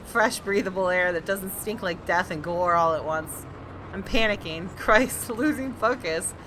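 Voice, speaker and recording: A woman's voice: on the low side, a little slow, fairly bright tone, a little hiss or hum, poor audio. A woman hosting a gaming video, reading visual novel narration aloud with dramatic expression.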